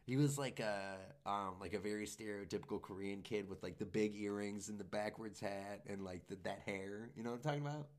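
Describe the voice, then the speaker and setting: Low-voiced young man, loose and profane. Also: fairly quick, few pauses. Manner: loose, profane, rambling storyteller. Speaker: comedian podcast co-host. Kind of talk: casual chat.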